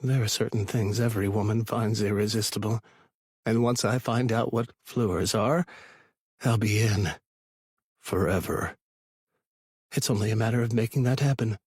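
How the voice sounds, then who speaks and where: Man voicing a frustrated obsessive stalker, thriller audiobook monologue, self-absorbed, intense, somewhat husky, dry sound. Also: lowish voice, steady tone, moderate pace, pauses often, darkish tone, no room sound. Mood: determined, possessive. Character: obsessive, possessive male stalker, frustrated and scheming. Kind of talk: first-person thriller audiobook narration.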